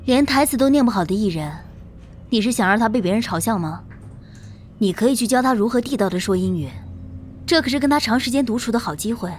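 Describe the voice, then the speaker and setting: Brisk female agent for audio drama, played by an actress: quick, matter-of-fact, some noise behind. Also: on the high side, animated, lightly acted. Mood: brisk, businesslike.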